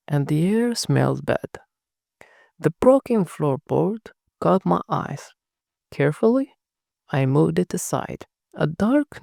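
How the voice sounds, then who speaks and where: A narrator telling a first-person horror story in a hushed, dramatic scripted reading. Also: mid-range voice, vocal fry, dry recording, studio quality.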